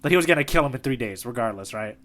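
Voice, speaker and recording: A man's voice: medium pitch, lively intonation, rapid-fire, a bit bright. A man co-hosting a podcast in casual conversation, eager and keeping his partner in the loop with frequent "right?" check-ins. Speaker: podcast co-host. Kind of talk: casual manga discussion chat.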